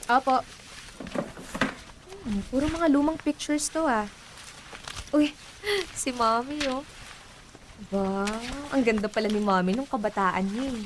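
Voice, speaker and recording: A woman's voice: on the high side, slow pace, long pauses, background noise. A woman playing a curious, persistent, slightly pouty younger sister in a Filipino radio drama. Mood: wistful, chatty. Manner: inquisitive, pleading, pouty.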